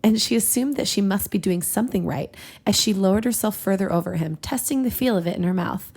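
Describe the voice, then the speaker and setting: A woman with a noticeable creak in her voice reads a story aloud as a podcast host. She plays it for comedy, giggly and quick to step out of the text for banter. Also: medium pitch, a little mellow. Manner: giggly, breaks off to joke. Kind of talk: comedic read-aloud of fan fiction with banter.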